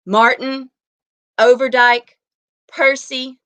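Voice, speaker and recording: Woman as a brisk, formal town official. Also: high-pitched, little pitch movement, sharp tone, pitched above the performer's own voice, exaggerated voice. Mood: formal calling. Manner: formal, directive calling out. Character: brisk, orderly male official running a town ceremony.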